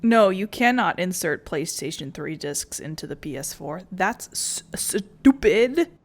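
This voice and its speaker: A woman's voice: deep voice, animated, moderate pace. A woman game streamer giving casual, rambling commentary in a blunt, sweary, sarcastic way. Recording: music behind the voice.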